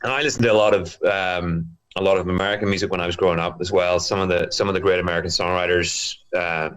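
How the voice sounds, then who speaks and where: A man's voice: deep voice, fairly even intonation, quick pace, a bit bright, low-quality recording. A man from Northern Ireland with a Northern Irish accent, answering as an interview guest in a casual, thoughtful, rambling way. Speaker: guest, singer-songwriter.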